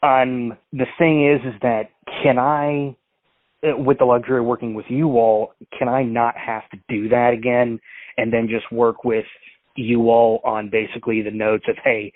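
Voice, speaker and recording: A man's voice: mid-range voice, no room sound. Male prank caller; rambling, restart-heavy delivery; phone-line audio. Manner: rambling, full of fillers and restarts. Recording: tinny dry phone line.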